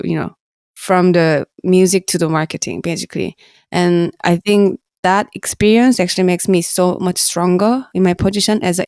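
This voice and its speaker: A woman in her thirties with a Korean accent, a musician guest talking about her career in halting, earnest English with frequent light laughs. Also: on the low side, vocal fry, a little mellow, dry recording, clean studio sound. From South Korea. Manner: halting, earnest, laughs often, simple phrasing. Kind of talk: casual interview talk.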